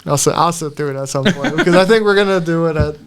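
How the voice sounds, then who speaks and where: A man's voice: on the high side, quick pace. A man hosting a casual movie podcast, bantering with friends in a joking, sarcastic way. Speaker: podcast host.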